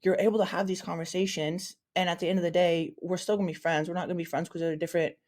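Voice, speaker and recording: A woman's voice: lowish voice, little pitch movement, very fast, darkish tone. A woman co-hosting a podcast in casual chat, rambling and circling back as she talks. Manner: rambling, full of ums and restarts. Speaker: podcast co-host.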